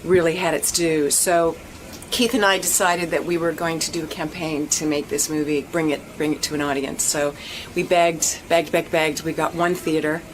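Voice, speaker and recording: A chatty woman, a guest actress, who talks casually and often repeats herself. Her voice sits fairly low, and a clear layer of background ambience and noise runs behind her. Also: steady tone, moderate pace, rough recording. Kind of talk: casual chat.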